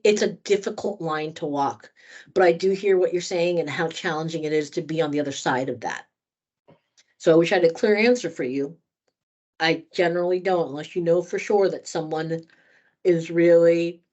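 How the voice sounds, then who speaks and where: A woman's voice: fairly low pitch, a little mellow, lo-fi sound. A woman trainer answering questions in a conversational workshop, candid and thoughtful, speaking at length. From United States.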